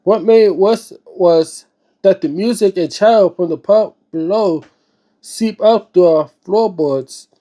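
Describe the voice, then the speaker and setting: A man with a notably high voice and a soft, dark-edged, relaxed tone reads a book aloud. He moves between narration and informal asides, sometimes tripping over the wording. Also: measured pace. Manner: stumbles over words while reading, informal interjections. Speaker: host reading a book aloud and reacting. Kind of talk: read-aloud narration with casual, off-the-cuff reactions.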